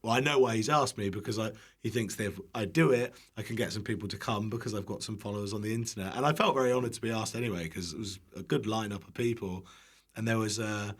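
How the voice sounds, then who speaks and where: A man's voice: lowish voice, fast, slightly rough. A British man telling casual anecdotes as a guest, in a self-deprecating way with some casual swearing. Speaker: guest, internet cooking creator.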